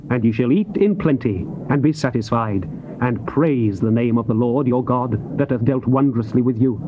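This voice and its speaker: A man's voice: fairly high pitch, natural voice. A male actor playing a solemn Old Testament prophet, declaiming scripture with emphatic, oratorical phrasing. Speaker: actor reading the Bible. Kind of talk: dramatized scripture reading, theatrical declamation. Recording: muffled, noisy old cassette tape.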